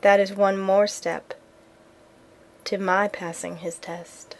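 A woman's voice: mid-range voice, little pitch movement, slow, a little hiss or hum, poor audio. An actress playing a weary, devout, resolute woman soldier of 1880s America, giving a solemn, reflective monologue in an audio drama. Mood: quiet resolve.

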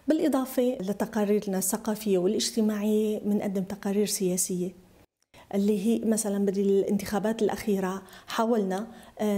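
A woman's voice: medium pitch, warm tone. A middle-aged woman journalist with a Syrian Arabic accent, speaking in a documentary interview.

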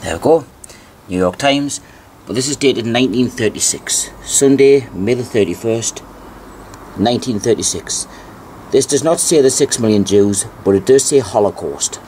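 A man giving an informal show-and-tell narration, reading aloud deliberately and repeating phrases for emphasis, on an amateur home recording. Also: medium pitch, darkish tone, slight background noise. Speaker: amateur video presenter.

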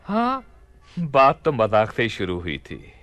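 A man playing a commanding yet gracious Caliph of Baghdad in a radio play, with measured, regal, formal diction. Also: mid-range voice, wildly swooping pitch, a bit bright, no room sound, soft background music, exaggerated voice. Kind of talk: Hindi radio play acting.

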